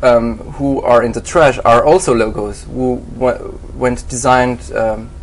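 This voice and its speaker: A man's voice: medium pitch, steady tone, measured pace, slight background noise, rough recording. A man in an interview, answering hesitantly with many fillers and restarts. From Switzerland. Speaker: graphic designer, interview guest. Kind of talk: halting conversational interview answers.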